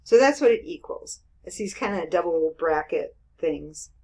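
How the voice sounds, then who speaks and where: Woman, 50s, math teacher, lowish voice, informal lecture with homey examples. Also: animated, normal speed, a little mellow, slightly rough. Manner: chatty, explains with everyday examples, frequent "okay" and "right".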